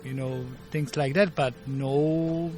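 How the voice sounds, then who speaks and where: A man in his fifties with a Bulgarian accent and a fairly high voice. He tells stories in an interview, stopping often to find the English words. Faint music plays behind him. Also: steady tone, darkish tone. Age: 50s. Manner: halting, searching for words in English. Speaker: martial arts teacher, guest. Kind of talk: interview storytelling.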